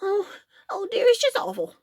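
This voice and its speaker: A woman voicing a sick, tearful old gander who speaks in a high, weepy way in a storybook reading. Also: lively intonation, slow pace, dark tone, no room sound, clean studio sound, full character voice. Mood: miserable.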